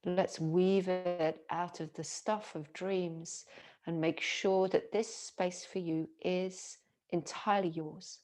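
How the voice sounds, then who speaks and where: A woman yoga teacher guiding a relaxation meditation in a soothing, gentle manner with long pauses. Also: lowish voice, steady tone, soft background music.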